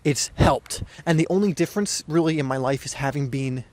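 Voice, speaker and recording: A young man hosting a soccer podcast, talking casually and earnestly with a hoarse, slightly weak voice and frequent restarts. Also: on the high side, a little fast. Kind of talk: casual solo podcast talk.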